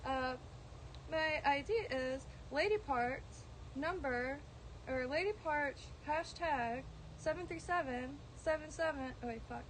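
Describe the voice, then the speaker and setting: Woman, high voice, rambling livestream host, droning at times, noisy outdoor phone audio. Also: takes it slowly, a bit bright. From Florida. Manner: meandering, many ums and restarts, casual swearing. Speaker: plant vlogger streaming live. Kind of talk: casual rambling livestream chat. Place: outdoors in a garden.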